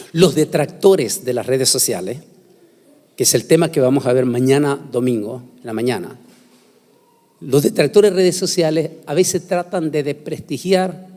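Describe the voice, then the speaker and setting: A man preaching in a solemn, emphatic sermon-lecture style with deliberate phrasing, recorded in an echoey hall. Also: on the high side, darkish tone. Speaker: preacher giving a conference talk. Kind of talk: sermon lecture with scripture reading and prayer. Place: large hall, speaking to a congregation. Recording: echoey hall with long reverb.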